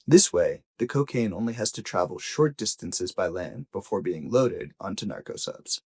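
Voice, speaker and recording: A man reading a scripted audio news investigation as a journalist, with measured, deliberate phrasing and emphatic pauses. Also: low voice, lively intonation, darkish tone, slightly rough.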